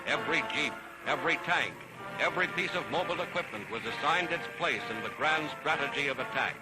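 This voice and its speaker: Old wartime newsreel guy, kinda high voice, solemn n dramatic, big music blaring behind him. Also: fairly quick, rough recording. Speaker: newsreel narrator. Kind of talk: 1940s newsreel scripted narration. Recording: archival 1940s newsreel soundtrack. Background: loud orchestral music.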